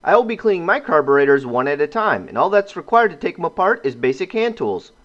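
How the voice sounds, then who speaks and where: High-pitched, animated man narrating a how-to. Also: fast talker. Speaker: DIY host. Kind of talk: scripted how-to voice-over narration. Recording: muffled, band-limited voice-over with music. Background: music.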